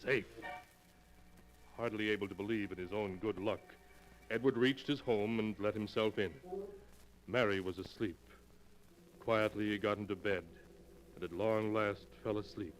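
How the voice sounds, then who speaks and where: A man narrating an old-time radio mystery in a measured, suspenseful delivery. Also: fairly low pitch, steady tone, slight background noise, poor audio. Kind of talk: scripted dramatic narration.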